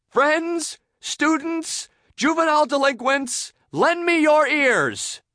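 A man voicing an eager, self-important cartoon sea sponge boy in grand, over-excited declarations for a children's storybook. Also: very high voice, takes it slowly, dry recording, clean studio sound, pitched above the performer's own voice, full character voice. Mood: pompous, earnest.

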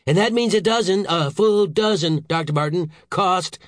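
A furious older government secretary, acted out by a male audiobook reader, blustering and hectoring. The voice is pushed high and loud as he howls and snaps with theatrical indignation. The recording is close and dry. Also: expressive, fast, no room sound. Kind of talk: audiobook character voice.

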